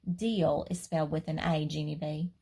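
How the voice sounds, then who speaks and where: A woman voicing a weary male first-grade teacher who speaks calmly and firmly. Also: sits low, moderate pace, slightly rough. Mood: patient. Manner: calm, firm, patient.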